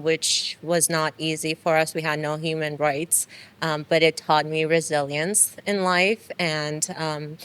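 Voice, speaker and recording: Soft-spoken Iranian-born woman, smooth low voice. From Iran. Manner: soft-spoken, frequent um fillers. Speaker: guest. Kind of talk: casual interview.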